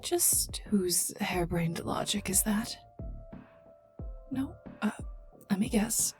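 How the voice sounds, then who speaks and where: A woman playing a cold, sardonic, menacing female scientist in an ASMR roleplay, taunting the listener in breathy half-whispers. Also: mid-range voice, slow. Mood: scornful, exasperated. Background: calm ambient music.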